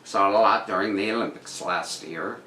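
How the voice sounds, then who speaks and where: A man reading articles aloud and commenting on them casually, with dry, deadpan asides. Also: fairly low pitch, moderate pace. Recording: roomy echo with noticeable background noise.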